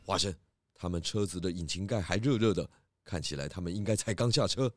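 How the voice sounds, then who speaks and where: Man playing a low-voiced, polite pig detective. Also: fairly quick, loud music behind the voice, natural voice. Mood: cautious, focused. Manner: polite, steady, slightly hesitant. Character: composed, polite male pig police detective. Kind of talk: children's audio drama acting.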